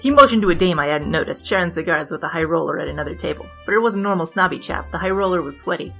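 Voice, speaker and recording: Brash, glib noir bandit queen, played by a woman, lowish forceful voice, comic audio drama with music underneath. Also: quick pace, gravelly. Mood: brisk storytelling. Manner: glib, digressive, argumentative. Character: brash, chatty, flirtatious female bandit king confessing her crimes.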